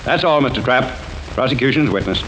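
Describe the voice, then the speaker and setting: An actor playing an earnest, probing defense attorney, formal and insistent in old-time radio courtroom style. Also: male voice, mid-range voice, expressive, fairly quick, fairly bright tone, close to an everyday voice. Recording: noisy old radio recording.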